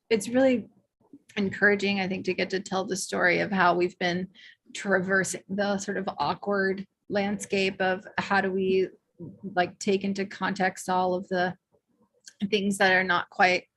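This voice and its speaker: A woman co-hosting an online panel while losing her voice, talking in a warm, effusive, rambling way on a video call mic. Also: medium pitch, level tone, moderate pace, vocal fry. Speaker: event co-host. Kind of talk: casual online panel talk.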